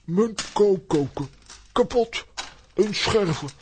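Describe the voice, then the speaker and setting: A man's voice: sits high, lively intonation, slow, a little mellow. An actor playing a vain, easily offended comic man in a children's radio play, indignant and theatrical. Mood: indignant, hurt. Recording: clearly noisy recording with clicks.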